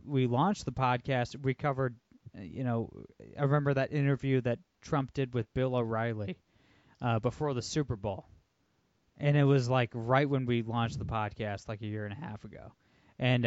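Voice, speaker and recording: A man hosting a podcast, talking politics casually in a quick, emphatic way full of "like" and "you know". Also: medium pitch, darkish tone, dry recording. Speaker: podcast host. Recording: dull lo-fi, dry sound.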